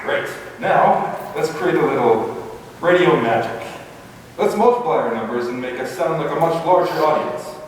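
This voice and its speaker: A man's voice: a little high, expressive, normal speed. Male announcer for vintage radio theater, showmanlike and formal, heard far from the mic with faint noise. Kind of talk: old-time radio announcing. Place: live stage before an audience. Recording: echoey hall, distant mic, faint noise.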